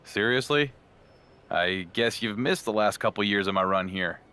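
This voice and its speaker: A man's voice: on the low side, normal speed, bright, forceful tone, smooth. A man playing a confident, wry champion wrestler in a video game, with a dry, teasing manner. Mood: miffed.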